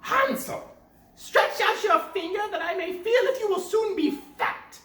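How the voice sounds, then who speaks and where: A woman voicing a greedy, impatient old witch in a storybook tale, with a shrill, bossy delivery. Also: a little slow, fairly bright tone. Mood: demanding. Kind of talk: storybook character voice. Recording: roomy sound, distant from mic.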